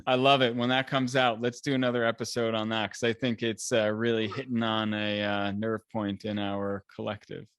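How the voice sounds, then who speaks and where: A man's voice: fairly low pitch, flat delivery, moderate pace, hardly any pauses, a bit bright, clean tone, dry recording, studio quality. A man hosting a podcast, talking casually in an interview. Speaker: podcast host.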